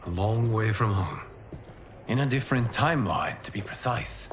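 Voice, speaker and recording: An actor playing a calm, wise fire god and keeper of time in a video game, speaking in a measured, reassuring, formal way. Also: male voice, lowish voice, background noise, natural voice. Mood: gentle. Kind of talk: solemn video game cutscene acting.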